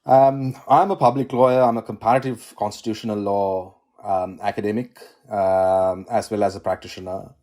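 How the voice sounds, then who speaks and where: A man from Sri Lanka with a low, mellow voice, speaking as a constitutional law academic in his forties. He answers in a measured, thoughtful way, with frequent "um"s and self-corrections, over a video call. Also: fairly even intonation. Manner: measured, academic, many "um"s and restarts. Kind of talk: conversational interview answers.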